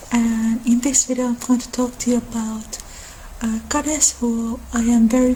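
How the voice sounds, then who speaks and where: Woman, soft-toned ASMR talk, slow and halting, relaxed timbre. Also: on the high side, a little hiss or hum, poor audio. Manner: unhurried, halting delivery. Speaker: video channel host. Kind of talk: ASMR-style informal mythology talk.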